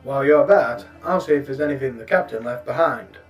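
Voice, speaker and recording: A man playing a composed, courteous rabbit starship captain in a sci-fi audio drama, giving crisp orders. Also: medium pitch, quick pace, faint music behind, close to an everyday voice.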